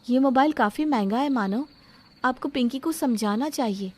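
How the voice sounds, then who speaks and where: A woman's voice: high-pitched, normal speed, a little mellow. A woman playing an outspoken, self-respecting young bride-to-be in a story drama, speaking firmly and indignantly. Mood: firm, displeased. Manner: firm, indignant, emphatic. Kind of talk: dramatised Hindi moral story acting.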